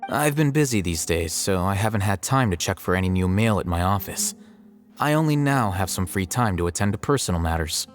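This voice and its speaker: A man voicing an aloof, dry academy scribe in a video game, with formal, deadpan delivery. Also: low voice, fairly quick, faint music behind. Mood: matter-of-fact. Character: aloof, unambitious male academy scribe, matter-of-fact and dry. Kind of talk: scripted video game dialogue.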